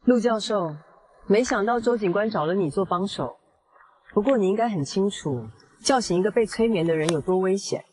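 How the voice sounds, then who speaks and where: Smug young female hypnotist villain played by a woman; audio drama, menacing calm, long reverb. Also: mid-range voice, moderate pace, husky. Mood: smug threat. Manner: calm, gloating, threatening. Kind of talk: dramatized audio fiction acting.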